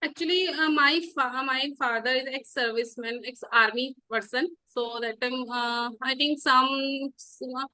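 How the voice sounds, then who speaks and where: High, bright North Indian woman learning English. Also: fairly even intonation, dry recording, poor audio. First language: Hindi. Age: 30s. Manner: halting learner's English, giggly. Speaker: guest, English-learning YouTuber. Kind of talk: casual conversational interview.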